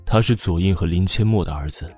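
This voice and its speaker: A cold, commanding family man for an audiobook drama, acted by a man. His voice sits very low with a husky edge, and he speaks curtly and severely, close and dry over faint music. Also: fairly quick, a little mellow, dry recording. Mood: cold, suspicious. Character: stern, cold husband and father, suspicious and commanding. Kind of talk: audiobook character acting.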